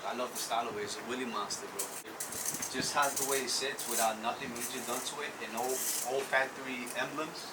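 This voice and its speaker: A man from Ecuador casually describing his motorbike in an interview, relaxed and saying "bro" often. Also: medium pitch, fairly quick. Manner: relaxed, says "bro" a lot, frequent restarts. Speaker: interviewee, vehicle owner. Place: outdoors by vehicles. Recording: distant from mic, loud background noise.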